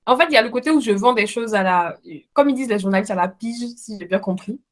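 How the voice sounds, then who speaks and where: A woman's voice: on the high side, quick pace. Chatty woman interviewer. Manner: friendly, thinks aloud with fillers. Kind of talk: casual interview.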